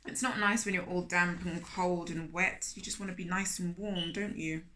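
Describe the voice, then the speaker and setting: A woman reading a picture book aloud to young children, animated and speaking to them directly with questions. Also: fairly low pitch, normal speed, a little hiss or hum. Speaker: children's storyteller, host of a storytime series.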